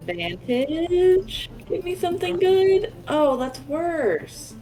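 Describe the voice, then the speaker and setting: A woman's voice: extremely high pitch, animated, takes it slowly, mellow, rough recording. A woman talking casually as a player in a tabletop roleplaying game. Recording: music behind the voice. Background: background music.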